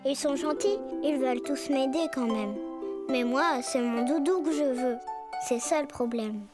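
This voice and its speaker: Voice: high voice, a little slow, over loud music. A performer voicing a sweet, earnest little brown bear cub boy in a preschool cartoon, with childlike speech.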